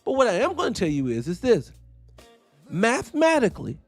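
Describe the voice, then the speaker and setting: A man's voice: sits high, brisk, soft background music. Fired-up man ranting, swearing freely. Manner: profane, animated, ranting with tangents. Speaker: host. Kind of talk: heated casual commentary.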